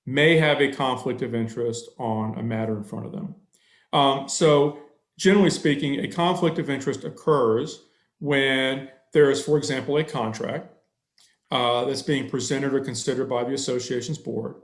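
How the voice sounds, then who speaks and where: A man's voice: mid-range voice, roomy sound. A middle-aged man, an attorney, answering a board's questions on a video call through a headset, thorough and a bit long-winded, with many fillers.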